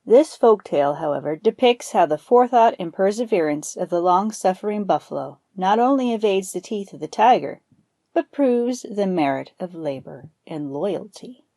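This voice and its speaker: A woman reading a scripted folktale as an expressive storyteller. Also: mid-range voice, normal speed, no echo. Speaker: podcast storyteller.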